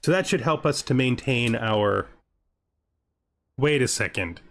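A man's voice: mid-range voice, animated, a little slow, a bit bright. A man giving casual commentary on a strategy game, thinking aloud as he plays. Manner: thinks aloud, talking to himself. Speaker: gaming video host. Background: background music.

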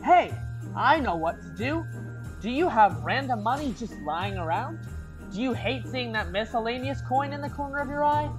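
A woman playing an eager, peppy pitchwoman in a parody radio advertisement, upbeat and salesy. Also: lively intonation, moderate pace, close to an everyday voice, low-quality recording. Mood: eager selling. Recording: music under the voice.

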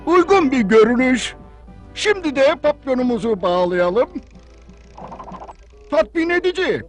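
A prim cartoon butler with an extremely high voice and swooping intonation. He is formal, fussy and easily flustered, with clear music playing behind him. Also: fairly bright tone, full character voice. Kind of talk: dubbed cartoon acting.

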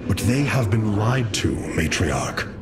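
An actor playing a noble, resolute young alien leader in a video game, speaking in a stern, earnest, formal way. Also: male voice, fairly low pitch, sing-song, takes it slowly. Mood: earnest, reflective. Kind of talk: dramatic video game cutscene acting. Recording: loud music under the voice.

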